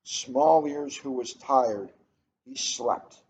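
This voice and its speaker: Middle-aged male storybook reader, everyday mid-pitched voice, muffled sound with faint background noise. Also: huge pitch swings, very slow, gravelly, darkish tone. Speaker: police officer reading a children's book aloud. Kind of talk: storybook read-aloud with casual chat. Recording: muffled, noisy ambient room recording.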